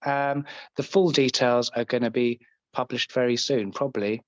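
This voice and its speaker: A Scottish man guests on a podcast, chatting in a casual, jokey, self-deprecating way. His voice sits at a medium male pitch, captured very dry with a slightly muffled lo-fi tone. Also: steady tone, normal speed, dry recording. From Scotland. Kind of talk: casual chat.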